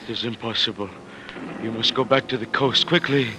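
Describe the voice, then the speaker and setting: A man's voice: mid-range voice, fairly quick, noisy background, natural voice. Actor as urgent local man warning travelers. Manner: urgent, broken clipped phrasing. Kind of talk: old-time radio drama acting. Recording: vintage radio recording with music behind.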